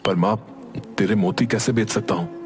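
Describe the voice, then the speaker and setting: A man's voice: fairly low pitch, steady tone, moderate pace, slight background noise, close to an everyday voice. A man playing an earnest, determined young village son in a Hindi cartoon story, speaking respectfully. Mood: sincere. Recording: music behind the voice.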